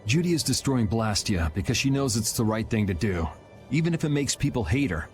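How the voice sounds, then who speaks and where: A man's voice: medium pitch, big pitch swings, fairly quick, close to an everyday voice. Guy voicing laid back young game hero, cool n wry, music under it. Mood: wry, thoughtful. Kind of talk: English game cutscene voice acting. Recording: music behind the voice.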